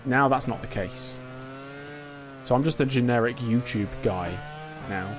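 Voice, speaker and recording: A young man casually commenting on his own racing game in a live stream, joking and self-mocking, quick to get excited. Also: mid-range voice, over music. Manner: quickly excitable under pressure.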